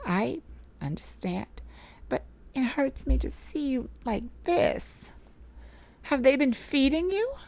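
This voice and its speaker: A woman playing a tender, heartbroken but resolute mage in an emotional audio roleplay, speaking intimately and haltingly with a pleading manner. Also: high-pitched, sing-song, gravelly, darkish tone, full character voice. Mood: worried, upset.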